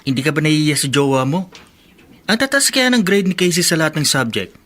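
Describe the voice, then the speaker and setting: A man's voice: a little high, very animated, brisk, fairly bright tone. A man acting in a radio drama as a sensible young student who ribs his friend in a conversational way. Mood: teasing, incredulous. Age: young adult.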